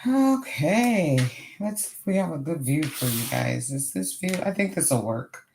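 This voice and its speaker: A middle-aged woman with a hoarse voice, hosting a casual crafting livestream and chatting to viewers as she works. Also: deep voice, lively intonation, measured pace, noisy background. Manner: chatty, greets viewers warmly and often. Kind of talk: casual live craft chat.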